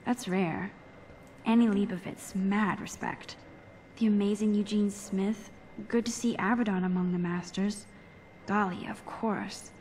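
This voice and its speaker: A woman's voice: mid-range voice, fairly even intonation, unhurried, darkish tone, a little hiss or hum, natural voice. A young woman with an American accent voicing a shy, wry, geeky teenage photography student in a video game. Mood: quiet admiration. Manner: wry, slangy, self-doubting. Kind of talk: introspective game voice acting.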